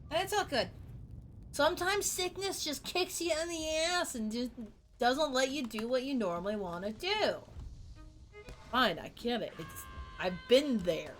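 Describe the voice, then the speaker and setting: A woman with a fairly high voice talks slowly and calmly while she plays a game, chatting to her viewers. Soft game music runs faintly behind her. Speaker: streamer. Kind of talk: casual game stream chat.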